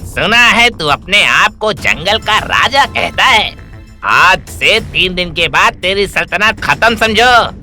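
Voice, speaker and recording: A performer voicing a menacing, boastful giant rat tyrant in an animated story, making slow villainous threats. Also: medium pitch, exaggerated voice. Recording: music under the voice.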